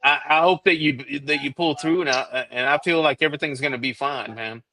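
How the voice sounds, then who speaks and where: A man's voice: fairly high pitch, fairly quick, pushed and bright. A man hosting a live stream in casual chat, sympathetic and often stammering and repeating words.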